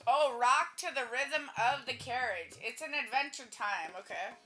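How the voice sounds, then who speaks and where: A woman's voice: high-pitched, moderate pace, bright, forceful tone. A woman livestreaming a game, chatting casually with her viewers in an exclamatory way. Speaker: game streamer. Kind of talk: casual livestream gameplay chat. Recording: game music behind voice. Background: video game music.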